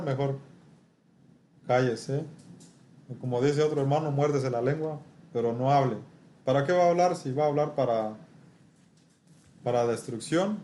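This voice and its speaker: A male preacher with a soft, mellow voice teaches from scripture. He speaks haltingly and often checks in with short rhetorical questions. There is faint noise and room ambience behind him. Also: medium pitch.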